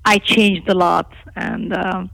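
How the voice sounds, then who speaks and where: A middle-aged woman from Lebanon, a native Armenian speaker, talking candidly and emphatically about her own life as an interview guest, with a creaky voice. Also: fairly low pitch, little pitch movement, slow, a bit bright, poor audio. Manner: outspoken, emphatic.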